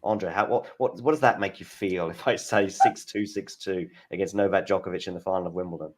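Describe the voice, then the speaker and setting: A man hosting a casual tennis watchalong chat, talking in halting starts and restarts and reading out scores. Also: deep voice, steady tone, a little mellow. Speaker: host.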